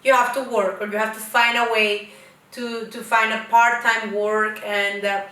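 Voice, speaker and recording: Bright-voiced woman host, echoey room. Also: a little high, little pitch movement, unhurried. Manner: chatty, guiding questions. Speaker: host, interviewer. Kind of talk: casual interview chat. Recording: echoey room, distant mic.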